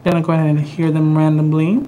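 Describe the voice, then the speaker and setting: A man's voice: on the high side, flat delivery, fast talker, dark tone, a little hiss or hum. A man with a Mexican American accent demonstrating a craft project live, chatty and easygoing with frequent ums. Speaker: craft instructor.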